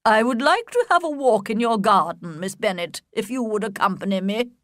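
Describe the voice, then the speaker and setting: Woman doing a stiff old aristocrat lady, bossy and slow, swoopy pitch. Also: animated, a bit bright, dry recording, clean studio sound. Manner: imperious, slow and emphatic.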